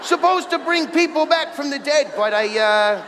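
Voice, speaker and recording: Man playing a high-pitched, flustered wizard headmaster. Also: lively intonation, crowd noise. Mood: sheepish. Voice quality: stammering, halting delivery. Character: flippant, scatterbrained wizard school headmaster. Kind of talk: comedic staged musical reading. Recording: echoey hall, audible room noise.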